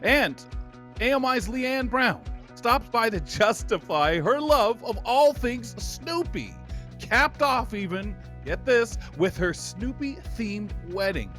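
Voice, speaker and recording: A man's voice: very high voice, animated, takes it slowly, a bit bright, soft background music. A man hosting a radio magazine show, telling stories with a theatrical delivery. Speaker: talk show host.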